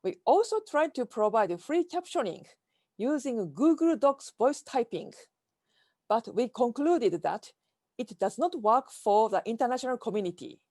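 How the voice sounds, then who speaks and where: A woman with a Japanese accent giving a prepared keynote talk over a video call, speaking in a careful, measured way from slides. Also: on the high side, lively intonation, mellow, dry recording.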